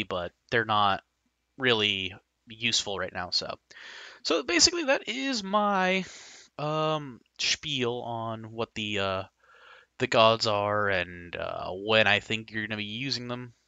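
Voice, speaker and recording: A man narrating a casual game guide over screen footage, rambling and hesitant with frank opinions, his voice slightly hoarse. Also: mid-range voice, pushed and bright, dry recording.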